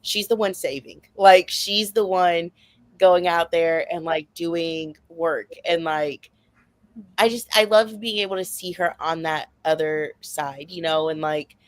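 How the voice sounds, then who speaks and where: A woman's voice: on the low side, a little slow, no room sound. A woman in a casual book chat, speaking with enthusiasm and emphasis and peppering her talk with filler words like "like" and "you know". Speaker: book discussion panelist.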